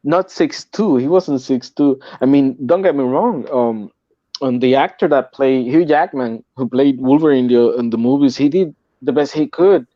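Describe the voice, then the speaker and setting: A man's voice: mid-range voice, normal speed, dry recording, highs cut off. Puerto Rican man, stumbling casual talk. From Puerto Rico. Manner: repeats and restarts words, animated when making a point. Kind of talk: casual podcast chat.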